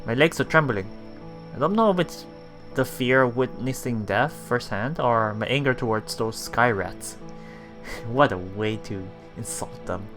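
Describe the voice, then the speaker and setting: Man, let's play host, lively pitch swings, bright tone, halting read-aloud with repeats and casual asides. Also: soft background music. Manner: halting, often repeating and correcting words. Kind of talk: reading a visual novel aloud with casual commentary.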